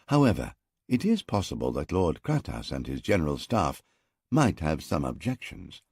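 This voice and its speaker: A judicious pharaoh speaks slowly and calmly in a very low, husky voice, as a narrator voices him. The tone is soft and close. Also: male voice, dry recording, full character voice. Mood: measured. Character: measured male pharaoh, calm and judicious.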